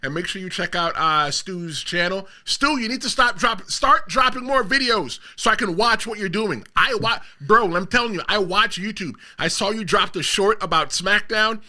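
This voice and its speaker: Male podcast host, rambling storyteller, high and very bright voice. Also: expressive, moderate pace, hardly any pauses. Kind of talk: casual chat.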